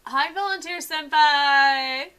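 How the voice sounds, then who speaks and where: A woman's voice: sits high, slow, bright, forceful tone, full character voice. A woman voicing a cheeky, eager American tank girl in a video game, playful and teasing.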